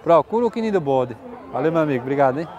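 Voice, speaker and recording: A man's voice: a little high, lively intonation, very fast, darkish tone, dull audio. A man with a Northeastern Brazilian accent casually interviewing sellers at an outdoor livestock market, friendly and repeating answers back. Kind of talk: casual street interviews and commentary. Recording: busy crowd and animal noise.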